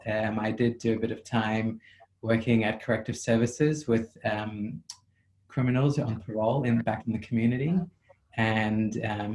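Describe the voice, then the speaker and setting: A psychotherapist with a South African accent, answering interview questions in a measured, reflective way over a video call, with frequent ums. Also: male voice, on the low side, darkish tone, low-quality recording.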